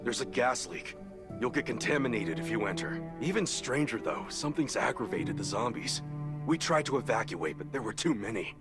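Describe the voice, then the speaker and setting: A weary shelter patroller. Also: male voice, lowish voice, very animated, moderate pace, over loud music. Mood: serious. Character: weary male shelter patroller, resting from injury.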